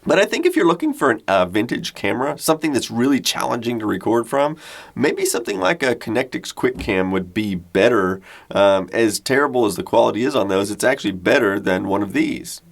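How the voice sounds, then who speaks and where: Man with a lively, creaky voice. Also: medium pitch, fairly quick. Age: 40s. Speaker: host of a retro technology video channel. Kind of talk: explanatory video narration, conversational.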